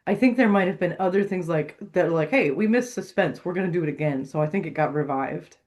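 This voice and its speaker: A podcast co-host in casual conversation, easygoing, gently correcting and teasing. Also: medium pitch, a little fast.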